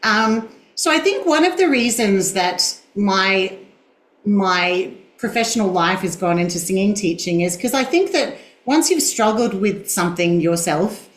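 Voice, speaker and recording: A woman in her forties, a singing teacher, talking as an interview guest in a reflective, self-deprecating way with a boomy mezzo voice. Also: lively intonation, unhurried, reverberant, rough recording. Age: 40s. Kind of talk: conversational interview.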